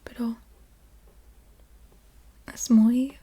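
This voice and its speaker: A woman doing soft-spoken ASMR, casually rambling in a breathy whisper with a hesitant, playful manner and softly repeated words. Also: a little high, flat delivery, drawn-out words, a little hiss or hum. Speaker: ASMR creator.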